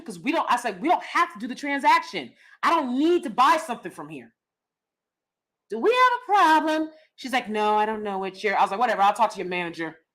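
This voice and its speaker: A young adult woman hosting a casual commentary vlog, loud and blunt, cursing freely. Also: a little high. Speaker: vlog host.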